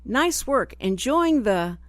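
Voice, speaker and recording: Fields: Sex: woman. Role: radio talk show host. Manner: chatty, animated, quick to jump in. Sound: dry studio mic. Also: fairly high pitch, no room sound. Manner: chatty, animated, jumps in often. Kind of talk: casual live radio chat.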